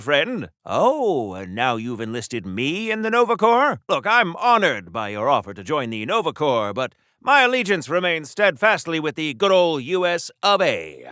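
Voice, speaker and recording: A man's voice: high voice, sharp tone, no echo, clean studio sound, heavily acted. An actor playing a bombastic patriotic 1940s American soldier superhero in a video game, theatrical and old-fashioned in his phrasing. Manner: theatrical, declamatory, quaint old-time phrasing.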